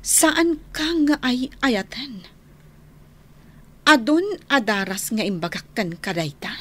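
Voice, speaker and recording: A woman's voice: fairly high pitch, lively intonation, slow pace, fairly bright tone, full character voice. A woman acting a reluctant young woman who firmly and earnestly turns down a suitor in an Ilocano radio drama. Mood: pained, firm.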